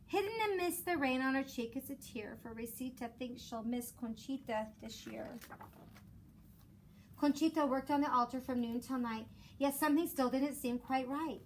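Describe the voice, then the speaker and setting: A woman who teaches elementary school reads aloud expressively for children in a measured storytelling way. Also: high-pitched, rough recording. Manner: measured storytelling with rhymed cadence. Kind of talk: expressive children's picture book read-aloud. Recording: clear background noise.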